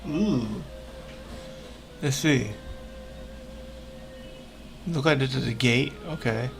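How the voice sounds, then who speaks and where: Male game streamer: mid-pitched, casual short reactions, game music clearly behind. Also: very slow, low-quality recording. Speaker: livestreamer.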